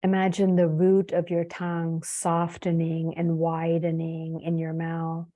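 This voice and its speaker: A woman leading a guided meditation as a yoga teacher, soothing and unhurried, leaving long pauses between phrases. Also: lowish voice, monotone, dark tone, dull audio.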